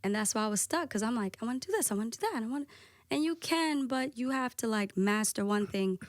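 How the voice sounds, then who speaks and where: A woman DJ guesting on a podcast, talking casually and candidly with a soft voice, peppering her talk with "like" and swearing. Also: a little high, expressive, quick pace, dry recording, clean studio sound. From United States. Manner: candid, lots of "like" and profanity.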